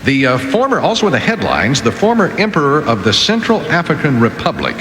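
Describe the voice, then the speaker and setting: A man's voice: mid-range voice, wildly swooping pitch, brisk, fairly bright tone, reverberant, in a large hall, a little hiss or hum. A man working as a radio newsreader, reading headlines and adding casual asides.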